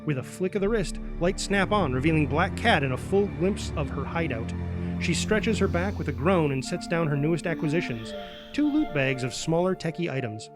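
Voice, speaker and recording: A man reads stage directions as dramatic scripted narration. His voice is a little high for a man, and music plays clearly underneath it. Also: very animated, moderate pace. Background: prominent music.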